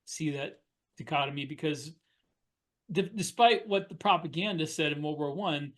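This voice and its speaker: Higher-pitched man, earnest podcast talk. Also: moderate pace, fairly bright tone. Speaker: podcast co-host. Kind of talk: casual panel discussion.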